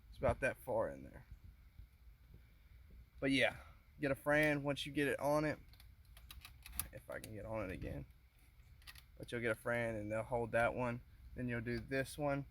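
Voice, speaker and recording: A man giving a casual hands-on how-to, informal and halting as he thinks aloud while working, recorded on a handheld phone inside a car. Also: mid-range voice, lively intonation, a bit bright, a little hiss or hum. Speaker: car DIY demonstrator. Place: inside a car, close quarters.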